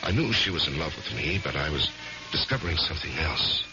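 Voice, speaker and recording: An actor playing a troubled married lawyer in a 1970s radio drama, speaking in a hesitant, halting way. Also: male voice, extremely low pitch, animated, music in the background. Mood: brooding, confessional. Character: troubled married male lawyer narrating his guilty downfall. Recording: noisy, muffled 1970s radio recording.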